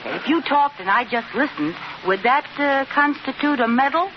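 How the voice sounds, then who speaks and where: An actress playing an excitable, meddling but well-meaning housewife in a 1950s radio sitcom, with a coy theatrical manner. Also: female voice, sits high, moderate pace. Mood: sympathetic, nosy. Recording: muffled, noisy old radio recording, audience.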